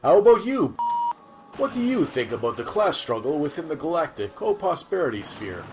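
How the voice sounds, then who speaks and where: A man's voice: on the high side, very animated, moderate pace. A man playing an earnest futuristic news reporter in a scripted sci-fi audio drama. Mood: earnest, curious.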